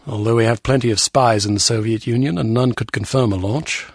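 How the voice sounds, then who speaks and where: A man's voice: medium pitch, fairly even intonation, brisk, no echo, natural voice. An actor playing a sardonic, sceptical male intelligence official with a pompous manner in a video game. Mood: businesslike. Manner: pompous, dry, curt.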